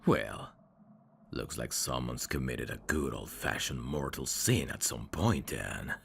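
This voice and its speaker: A man playing a suave, teasing demon in an intimate ASMR roleplay, courteous and flirtatious, with a gravelly voice. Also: extremely low pitch, animated, measured pace, fairly bright tone, heavily acted. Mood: intrigued, teasing. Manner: smooth-talking, flirtatious, formal phrasing with hesitations. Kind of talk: intimate ASMR roleplay acting addressed to the listener.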